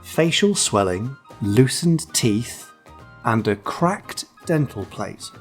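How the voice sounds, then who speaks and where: A man's voice: mid-range voice, lively intonation, slow, soft background music. A man hosting a light-hearted podcast, speaking in a wry, mock-serious and theatrical way. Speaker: podcast host. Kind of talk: light-hearted podcast chat with read-out segments.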